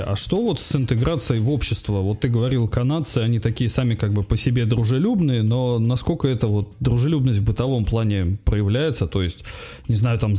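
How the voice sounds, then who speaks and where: A man interviewing a guest in a casual conversation, asking relaxed, informal questions. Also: mid-range voice.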